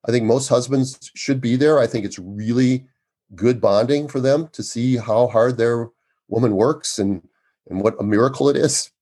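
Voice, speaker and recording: A man in his sixties, an obstetrician speaking as a guest in an interview, with a loud, emphatic and earnest way of talking. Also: medium pitch, moderate pace. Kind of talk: conversational interview.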